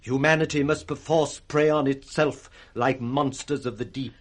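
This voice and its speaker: An actor playing a grave, morally outraged noble husband with a British accent, declaiming in 1960s radio Shakespeare style. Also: male voice, medium pitch, steady tone, moderate pace, close to an everyday voice. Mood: grave reproach.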